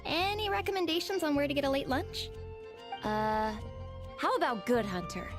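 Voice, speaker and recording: A woman's voice: high-pitched, lively intonation, moderate pace, music in the background. A woman playing a sweet, earnest, slightly hesitant young girl in a video game, polite in her delivery. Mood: friendly. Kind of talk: English video game voice acting.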